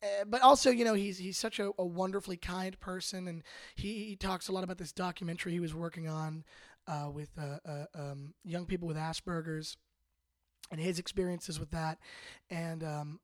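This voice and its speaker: A man's voice: high voice, steady tone, no room sound, clean studio sound. A young man hosting a podcast, talking casually with lots of fillers and restarts. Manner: halting, many fillers and restarts. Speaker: podcast host.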